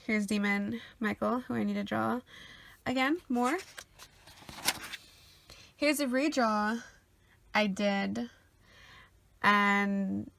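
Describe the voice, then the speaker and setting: Sniffly gal w a bit of fry, rambling thru her sketchbook, lots of ums. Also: mid-range voice, a bit bright, dry recording. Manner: rambling, many ums and uhs. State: sniffly after a cold. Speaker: artist showing her sketchbook. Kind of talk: casual sketchbook tour.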